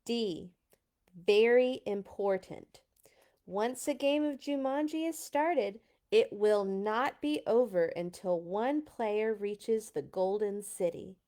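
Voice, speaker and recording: A woman's voice: mid-range voice, slow pace, mellow, close to an everyday voice. A woman voicing a bossy, eager older sister, a young girl who gives emphatic instructions in a storybook read-aloud.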